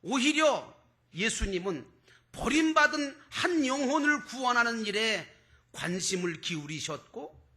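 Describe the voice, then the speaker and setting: Male preacher, extremely high and forceful voice, declamatory, rhetorical sermon style. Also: sing-song, measured pace. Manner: emphatic, declamatory, stretches key words, rhetorical questions. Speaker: pastor preaching to a congregation.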